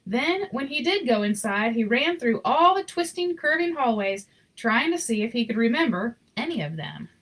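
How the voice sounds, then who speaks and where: A woman reading a children's picture book aloud in an expressive, informal way with chatty asides. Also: a little high, moderate pace, few pauses, low-quality recording. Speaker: story reader.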